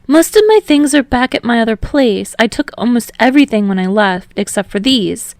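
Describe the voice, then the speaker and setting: Woman voicing an energetic young man, lifted voice. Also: on the high side, moderate pace, dry recording, pitched above the performer's own voice. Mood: friendly. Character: energetic, friendly, slightly unsure young man. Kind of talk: fan fiction audiobook dialogue.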